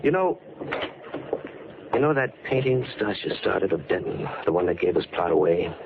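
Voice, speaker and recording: An actor playing an earnest, brooding man in an old radio mystery, with a measured, dramatic delivery. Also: low voice, lively intonation, creaky. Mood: brooding wonder. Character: earnest, brooding male survivor of a murder plot. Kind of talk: 1940s radio drama acting. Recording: noisy lo-fi vintage radio recording.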